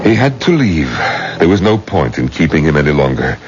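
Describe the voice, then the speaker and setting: Grave protective old-world elder, deep voice with big dramatic swings, radio play, dull old tape. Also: male voice, very animated. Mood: grave, soothing. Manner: solemn, measured, commanding. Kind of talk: 1970s radio drama acting. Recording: muffled, noisy old radio broadcast.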